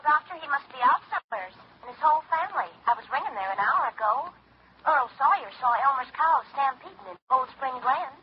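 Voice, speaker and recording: An actress playing a brisk, matter-of-fact small-town telephone operator in a 1940s radio drama. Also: female voice, high voice, animated. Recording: muffled old radio broadcast, hiss.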